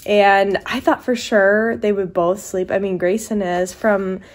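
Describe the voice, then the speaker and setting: A woman's voice: mid-range voice, fairly even intonation, unhurried. A young American woman chatting casually to her vlog as a mother, recorded at home in a living room on a handheld camera. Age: young adult. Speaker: vlogging mother of young children.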